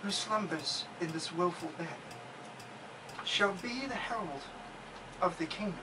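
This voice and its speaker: A man playing an earnest scientist, a doctor who studies ancient writings, in a deliberate, declamatory audio drama style. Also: high-pitched, distant mic, noisy background. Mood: solemn, foreboding. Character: earnest male scientist doctor, solemn scholar of ancient inscriptions.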